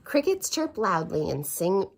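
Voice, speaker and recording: A woman in her thirties reading a bedtime picture book aloud to toddlers at home, gentle and sing-song, sometimes dropping to a whisper. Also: mid-range voice, wildly swooping pitch, a little slow, a little hiss or hum. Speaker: children's video host reading bedtime stories.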